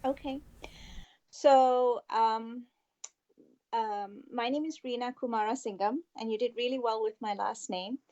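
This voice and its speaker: Woman guest from Malaysia, warm mellow tone, slightly dull lo-fi line, thoughtful storyteller. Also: fairly high pitch, fairly even intonation, a little slow. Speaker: guest, past life regression therapist. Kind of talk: interview storytelling.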